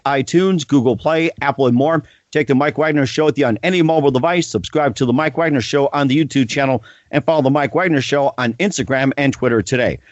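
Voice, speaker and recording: A man's voice: medium pitch, no room sound, muffled recording. A man hosting his own podcast, reading sponsor spots and introducing a guest with many ums, uhs and restarts. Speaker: podcast host. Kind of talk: casual interview and self-read sponsor spots.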